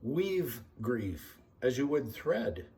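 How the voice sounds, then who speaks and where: A man's voice: mid-range voice, very animated, drawn-out words, warm tone, slight background noise. A man reading a poem aloud. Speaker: poetry reader. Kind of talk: spoken poetry reading.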